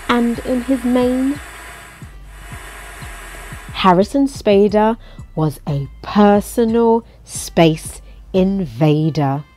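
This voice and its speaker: A woman reading a children's picture book aloud in an expressive, coaxing way, as if to young listeners. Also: on the high side. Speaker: storybook reader.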